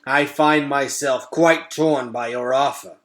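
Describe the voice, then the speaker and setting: Some guy as a giant hungry rat, menacing but weirdly polite, old-timey talk, slow and punchy. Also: mid-range voice, fairly even intonation, fairly bright tone. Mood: haggling, hungry. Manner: archaic, mock-polite, emphatic delivery. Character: hungry, haggling, menacing six-foot rat. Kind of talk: children's storybook read-aloud.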